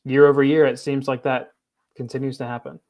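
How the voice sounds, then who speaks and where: A man's voice: medium pitch, flat delivery, lo-fi sound. A man co-hosting a film podcast, speaking earnestly in a casual chat, often stopping and restarting his sentences. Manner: halting, many restarts, earnest. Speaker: film critic, podcast co-host.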